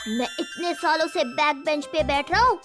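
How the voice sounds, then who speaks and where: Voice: sits high, moderate pace, over music, natural voice. A voice actor playing a cheeky, scheming schoolboy in a Hindi children's cartoon, theatrical and full of mock innocence. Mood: mock indignant. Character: cheeky scheming schoolboy, smooth-talking prankster.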